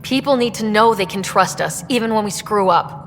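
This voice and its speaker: A woman's voice: medium pitch, fairly quick, fairly bright tone, faint music behind, lightly acted. Actress as resolute young woman explorer in echoing hall. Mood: firm, earnest. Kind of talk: video game dialogue acting.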